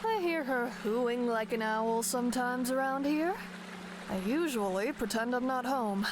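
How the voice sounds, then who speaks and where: A woman's voice: a little high, measured pace, noisy background, lightly acted. An actress playing an earnest, eccentric woman who guards the plants of her island in a video game, lofty and theatrical. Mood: stern, put-upon. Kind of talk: video game character dialogue.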